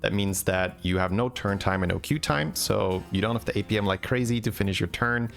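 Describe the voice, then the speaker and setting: Gravelly man, casual commentary. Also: lowish voice, fairly quick, soft background music. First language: Dutch. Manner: relaxed, chatty, answers chat questions. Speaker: game commentator.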